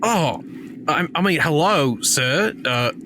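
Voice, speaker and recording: A man's voice: a little high, expressive, slow, background noise. A man playing a tabletop roleplaying game, reacting with exaggerated disbelief. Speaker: player. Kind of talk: casual roleplay banter.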